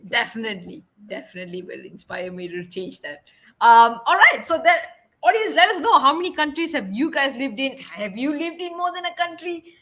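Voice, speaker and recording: A woman's voice: high-pitched, animated, a little fast, pushed and bright. A young woman hosting an online interview in an upbeat, chatty way. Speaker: host.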